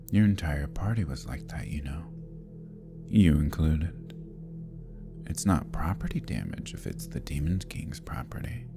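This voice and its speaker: A gravelly, whispering Demon King. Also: rumbling low, full character voice. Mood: smug taunting. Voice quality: gravelly, husky, often whispered. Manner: taunting, smug, menacing. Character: scheming, arrogant male Demon King villain. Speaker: voice actor. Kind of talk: ASMR roleplay.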